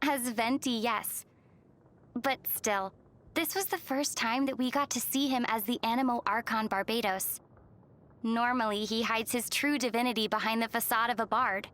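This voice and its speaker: A woman voicing a gentle, thoughtful young traveller heroine in a video game, with a soft, measured, musing delivery. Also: fairly high pitch, close to an everyday voice. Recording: music behind the voice.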